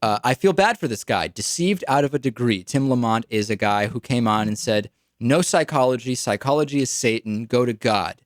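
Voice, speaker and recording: A man's voice: mid-range voice, lively intonation, normal speed, dry recording, studio quality. A man with an American accent giving political commentary as a radio talk show host.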